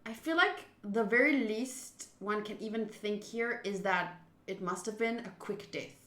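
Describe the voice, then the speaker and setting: Bright-voiced woman streaming in an echoey room. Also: medium pitch, a little slow. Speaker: game streamer. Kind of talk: live visual novel playthrough, reading text aloud and chatting.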